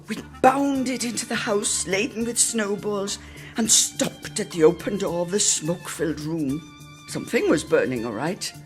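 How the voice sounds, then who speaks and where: A woman's voice: fairly high pitch, a bit bright. A woman giving a theatrical, lyrical reading of a literary story, savouring each phrase. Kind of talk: theatrical scripted story narration.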